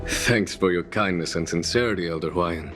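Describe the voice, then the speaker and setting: An actor voicing a calm, diplomatic general in a video game, polite and formal. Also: male voice, sits low, normal speed, over music, lightly acted. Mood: composed. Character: calm, courteous male general, composed and diplomatic.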